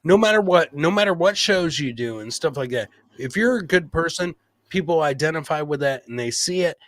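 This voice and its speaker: A man's voice: fairly high pitch, animated, fairly quick, a bit bright, dry recording. A man hosting a casual podcast, talking bluntly and swearing freely like he is among buddies. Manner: blunt, profane, buddy-like talk. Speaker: podcast host.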